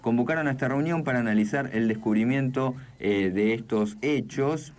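A man's voice: medium pitch, normal speed, a little mellow. A man with a Buenos Aires accent hosting a radio show, reading news casually with mocking asides and frequent tag questions. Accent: Argentine, Buenos Aires.

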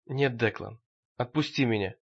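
A man voicing a frightened, weary older priest with quiet defiance, hushed and subdued in a horror audiobook. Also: medium pitch, moderate pace, gravelly, breathy edge, muffled recording. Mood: pleading.

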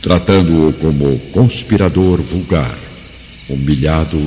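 Male narrator, very low voice, solemn scripted storytelling, long reverb, formal. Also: slow, background noise. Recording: reverberant, muffled, music and effects behind.

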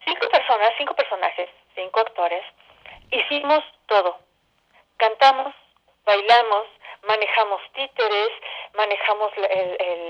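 A Mexican woman giving conversational answers as an interview guest, a theatre director. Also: mid-range voice. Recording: dull phone-like band-limited sound.

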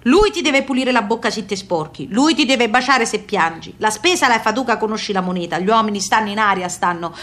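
A woman's voice: mid-range voice, expressive, a little fast, hardly any pauses, a bit bright, natural voice. An actress playing a proud, bossy emigrant mother in a radio play, with a southern Italian accent and emphatic, repetitive, folksy phrasing. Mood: bossy, advising. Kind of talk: stage-like radio theatre monologue and dialogue.

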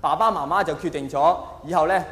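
High-pitched male stand-up comic, echoey hall. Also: fairly quick, a bit bright. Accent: Hong Kong Cantonese. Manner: colloquial, exaggerated, rhetorical questioning. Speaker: stand-up comedian. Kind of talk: stand-up comedy monologue. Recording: echoey hall, faint background noise.

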